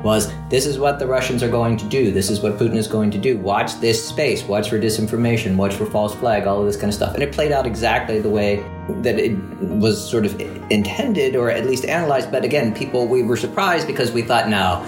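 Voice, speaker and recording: A man's voice: fairly low pitch, fairly quick, reverberant, over music. A man who teaches international relations, talking in a podcast conversation in a discursive way, with restarts and asides.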